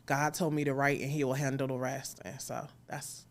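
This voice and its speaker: A woman's voice: rumbling low, little pitch movement, normal speed. A woman speaking casually as an interview guest, in an informal, encouraging way. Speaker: interview guest, audio drama creator.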